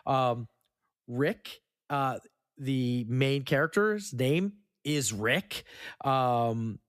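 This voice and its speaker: A man with a medium-pitched voice talks as a podcast host in a very dry recording. He runs through film trivia casually, with halting delivery and the odd stumble over a word. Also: dry recording. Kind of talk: casual film trivia rundown among co-hosts.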